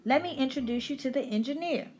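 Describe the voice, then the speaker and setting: A woman's voice: high voice, fast talker, a little mellow, faint music behind, natural voice. A woman voicing a friendly, helpful train conductor in a children's storybook, welcoming and obliging.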